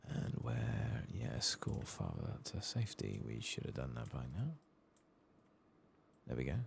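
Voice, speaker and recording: A British man giving soft-spoken, casual ASMR game commentary in a hushed voice, muttering to himself close to the mic. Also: extremely low pitch, huge pitch swings, unhurried, creaky, a little hiss or hum. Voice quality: soft, hushed, at times whispered.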